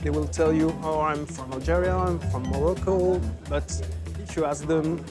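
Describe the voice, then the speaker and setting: A man's voice: on the high side, steady tone, normal speed. Male interviewee, earnest and explanatory, music bed behind. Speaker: local interviewee. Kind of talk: documentary interview.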